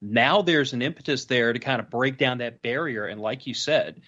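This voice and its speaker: A man's voice: mid-range voice, normal speed, a bit bright. A man on a discussion panel, explaining his points earnestly in casual speech and repeating phrases for emphasis. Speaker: panel participant. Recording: slightly dull, lo-fi sound.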